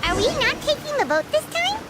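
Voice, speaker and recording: A woman voicing a tiny, excitable, childlike fairy companion in a video game, given to bossy exclamations. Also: extremely high pitch, a little fast. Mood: complaining. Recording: music loud behind the voice.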